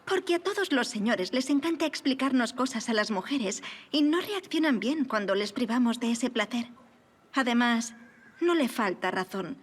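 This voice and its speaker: A young lady of high society in a period audio drama. Her voice sits a little high. She is gracious and affectionate, with formal, witty, self-assured speech. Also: expressive, moderate pace. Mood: wry.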